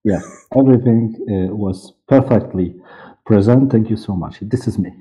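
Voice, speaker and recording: A middle-aged man from Poland with a Polish accent, answering interview questions in halting English, repeating words as he searches. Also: lowish voice, warm tone, husky. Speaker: interview guest, audio director and actor. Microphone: headset or desk mic on video call. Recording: muffled, band-limited video call audio.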